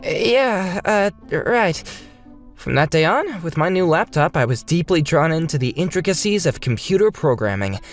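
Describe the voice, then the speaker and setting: A man's voice: a little high, very animated, fairly quick. A man reading scripted narration for a manga dub. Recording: music bed behind the voice.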